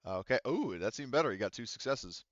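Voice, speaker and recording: A man working as game master, casually narrating a sci-fi tabletop roleplaying game in a halting, think-aloud way. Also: mid-range voice, expressive, fairly bright tone, no echo, dull audio.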